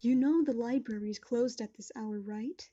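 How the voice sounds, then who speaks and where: A woman playing a calm, kindly royal pony ruler in an audio drama, speaking in a gentle, measured and reassuring way. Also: fairly high pitch, lightly acted. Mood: calm, firm. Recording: muffled, dull audio under music.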